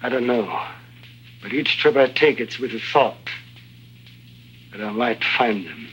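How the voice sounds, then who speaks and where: An actor playing a jovial but fatalistic Eastern European guide, wry and theatrical, in an early 1950s spy drama. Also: male voice, mid-range voice, a little slow, a bit bright, a little hiss or hum, lightly acted. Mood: wistful. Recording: dull old 1950s soundtrack with music.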